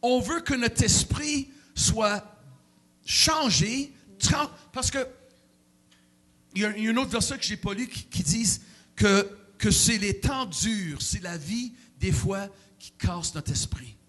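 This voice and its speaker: High-voiced, emotional preacher. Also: male voice, takes it slowly. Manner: emotional, animated preaching with sudden loud outbursts. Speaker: pastor. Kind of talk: sermon. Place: church.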